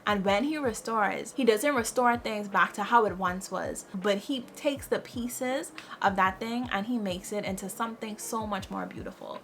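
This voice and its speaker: A woman in her twenties giving lively, faith-filled life advice to her viewers, repeating her key phrases and calling them "girl". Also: mid-range voice, a little hiss or hum. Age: 20s. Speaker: lifestyle vlogger. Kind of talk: casual motivational advice talk.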